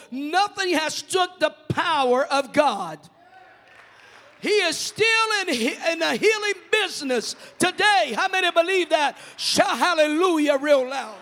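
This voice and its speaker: High-voiced preacher in a reverberant church. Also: male voice. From Brazil. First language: Portuguese. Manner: fervent, calls out hallelujahs, shouts at peaks. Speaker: missionary pastor. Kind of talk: preaching a testimony sermon. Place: church sanctuary.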